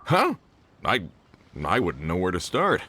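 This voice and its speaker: A man's voice: sits low, wildly swooping pitch, a little slow, noisy background, exaggerated voice. An actor playing a boastful older mining foreman in a video game, with a hearty, folksy manner. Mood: explaining. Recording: music behind the voice.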